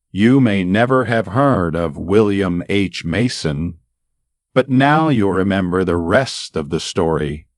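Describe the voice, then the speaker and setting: Man, storyteller, very slow pace, lowish pitch, dramatic pauses, scripted narration. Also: no echo, clean studio sound. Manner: dramatic, deliberate phrasing with suspenseful pauses. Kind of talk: scripted radio-style narrated true story.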